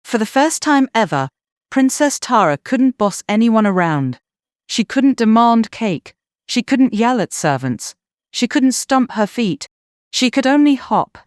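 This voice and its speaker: A woman narrating a children's bedtime story in an expressive, scripted way. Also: a little high, measured pace, smooth, no echo, studio quality.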